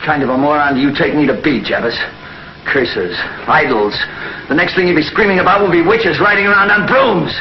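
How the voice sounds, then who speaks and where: An actor playing a stern space hero, hard, commanding and accusing, in a 1950s TV sci-fi serial. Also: male voice, fairly high pitch, brisk, lightly acted. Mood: stern, scornful. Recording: noisy, muffled, echoey 1950s TV soundtrack.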